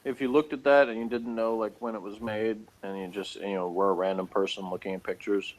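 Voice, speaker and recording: A man's voice: lowish voice, little pitch movement, a little fast, low-quality recording. A man chatting informally as co-host of a review, tossing in loose asides. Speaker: co-host of a sci-fi TV episode review. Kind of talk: casual chat and commentary.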